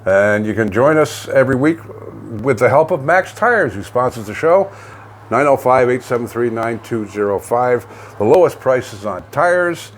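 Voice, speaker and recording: A man's voice: mid-range voice, lively intonation, moderate pace, a little hiss or hum. An older man with a Canadian accent co-hosts a local online radio show in a folksy, rambling, casual chat style. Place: home studio.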